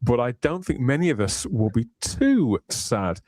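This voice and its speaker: A man's voice: medium pitch, very animated, measured pace, darkish tone, no room sound, clean studio sound. A man hosting a casual livestream chat, playful and wordy, rambling through his introductions. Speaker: livestream host.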